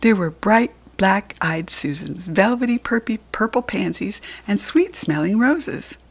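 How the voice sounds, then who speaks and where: A woman with an American accent narrates a children's storybook. Her pitch moves in big, lively swings, and her voice has a slightly creaky, husky edge. She stresses words deliberately and expressively. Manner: animated, deliberate storytelling emphasis.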